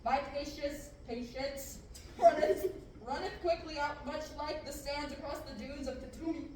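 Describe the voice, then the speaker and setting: A woman reading a slow menacing dark lord. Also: high voice, steady tone, a little hiss or hum. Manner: slow grandiose verse, breaking into laughs. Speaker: table-read actor. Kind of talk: amateur Shakespearean table reading. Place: large classroom, indoors. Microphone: far camera. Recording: echoey room from far off.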